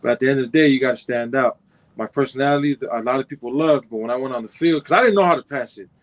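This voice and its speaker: A man's voice: medium pitch, very fast. A man in his twenties from Southern California, a football player answering interview questions in a laid-back, rambling way. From Compton, California. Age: 20s. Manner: laid-back, rambling, many fillers and restarts. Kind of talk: casual interview.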